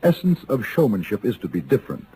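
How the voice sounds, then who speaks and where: An American man playing a cool, refined, sardonic gentleman gunfighter in a radio western, speaking formally, precisely and dryly. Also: lowish voice, sing-song, fast. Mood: dry, composed. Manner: formal, dry, precise, unruffled. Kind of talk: old-time radio western acting. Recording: noisy old radio drama recording.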